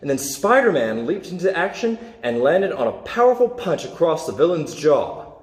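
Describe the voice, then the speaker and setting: Expressive male story reader in echoey room. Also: a little high, normal speed. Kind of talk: reading a children's superhero story aloud.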